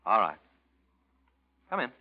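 A man's voice: slow pace, noisy background, lightly acted. An actor playing a cynical, bitter young male artist in a 1940s radio drama, sardonic and clipped, on a tinny old recording.

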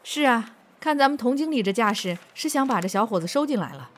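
No-nonsense personnel officer, lively intonation. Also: female voice, on the high side. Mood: probing, wry. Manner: brisk, probing, wry. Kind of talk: Chinese audio drama acting.

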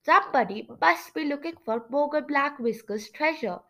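A woman voicing a confident, curious female storybook sleuth in a congested, nasal voice, with a brisk, teasing manner. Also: high-pitched, animated, bright, forceful tone, pitched above the performer's own voice, full character voice. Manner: brisk, self-assured, teasing.

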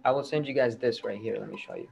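A man giving a reassuring, persuasive sales pitch as a marketing agency salesman on a recorded call. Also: steady tone, fast, vocal fry, a little mellow. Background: music.

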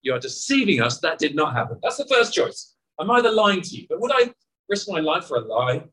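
A man in his forties preaches with a bright, lifting voice that swings widely up and down. He talks to the crowd conversationally, tossing out rhetorical questions. The sermon is given outdoors in a car park. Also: on the high side, very animated, brisk, poor audio. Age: 40s.

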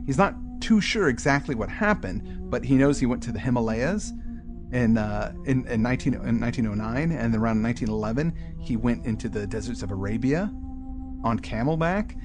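A man's voice: medium pitch, animated, a little fast, music in the background. A man co-hosting a podcast, explaining things in a casual, conversational way during a book discussion. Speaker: podcast co-host.

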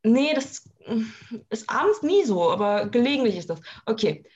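A teenage woman talking from her apartment in a casual chat, with dry, deadpan humor. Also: fairly high pitch, moderate pace, dull audio. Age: teens.

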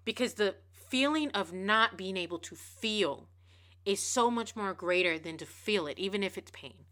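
A woman speaks fast into a podcast mic, her voice bright and forceful. She preaches with emphasis, repeating words and restarting phrases as she drives her point home. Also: mid-range voice, expressive. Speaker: podcast host. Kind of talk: casual motivational podcast monologue.

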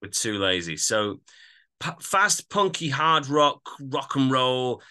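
A man's voice: medium pitch, sing-song, takes it slowly, sharp tone, smooth. A man chatting casually as a podcast host, giving opinionated, analytical reviews of music.

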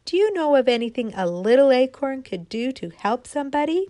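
A woman's voice: high voice, very animated, a little fast, mellow, dry recording, studio quality, pitched above the performer's own voice. A woman voicing a small, timid and hopeful little acorn girl in a storybook tale, with an eager, pleading manner.

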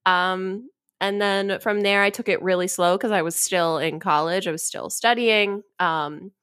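A woman's voice: mid-range voice, fairly even intonation, measured pace, fairly bright tone, clean tone, no echo, clean studio sound. A woman in her twenties chatting casually as a guest on a podcast. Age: 20s. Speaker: podcast guest, cosplayer and actress. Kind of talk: casual chat.

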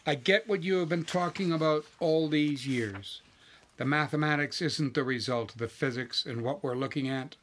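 A man's voice: fairly high pitch, normal speed, fairly bright tone. A man voicing a blunt, easily frustrated wife in a story, emphatic and insistent. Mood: forceful, insistent.

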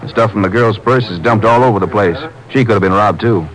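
An American actor playing a brisk, practical Texas Ranger in a 1950s radio drama, giving clipped, informal reports to his partner. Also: on the low side, a bit bright, lightly acted, poor audio. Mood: businesslike.

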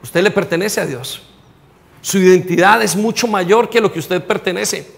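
Colombian male pastor, high-pitched, impassioned sermon delivery, reverberant hall sound. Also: normal speed, creaky. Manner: preaching, rising to near shouts, direct questions to listeners.

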